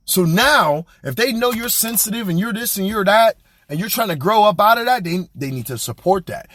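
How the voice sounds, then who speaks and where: A young man speaks as a podcast host, blunt and colloquial as he pushes listeners to grow. His voice sits a little high and swings widely with emphasis. It has a husky edge and sounds dry and close, with little room around it. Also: no echo. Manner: animated, preachy, blunt and colloquial. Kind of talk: motivational monologue.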